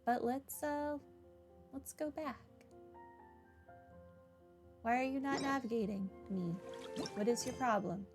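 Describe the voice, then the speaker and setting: Slow-paced woman doing casual game commentary. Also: fairly high pitch. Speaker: gaming video host. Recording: soft music behind. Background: game music.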